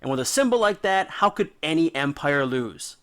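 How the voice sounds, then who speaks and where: Male storyteller host, slightly high voice, colloquial and dramatic scripted narration with punchy emphasis. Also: sing-song, normal speed, fairly bright tone. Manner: emphatic, informal, dramatic phrasing. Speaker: host and storyteller of a mythology series.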